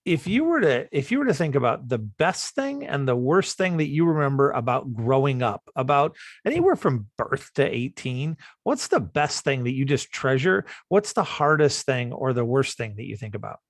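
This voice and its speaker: A man's voice: mid-range voice, sing-song, normal speed, dry recording, clean studio sound. A man interviewing a podcast host, asking friendly, encouraging questions about his childhood. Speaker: interviewer, panel guest.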